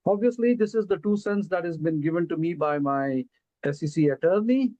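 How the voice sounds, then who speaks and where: Indian-accented man, slow deliberate presenter, filler-heavy; dull, dry call audio. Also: fairly high pitch, lively intonation, dark tone, dry recording. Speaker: presenter of an investment pitch. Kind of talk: casual webinar presentation. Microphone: video call.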